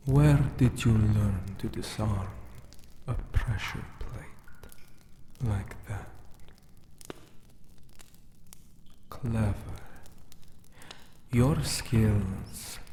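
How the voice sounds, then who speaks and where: A man performs an ancient male death-guardian monster for a whispered ASMR roleplay. The voice is dark and breathy, extremely slow and solemn, with formal phrasing and long pauses. It carries lonely menace mixed with admiration, over a noticeable hiss. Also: fairly low pitch. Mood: admiring, curious.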